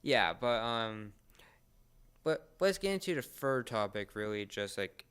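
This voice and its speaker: A man chatting casually as a fan, often halting and searching for his words. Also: mid-range voice, big pitch swings, a bit bright, clean tone.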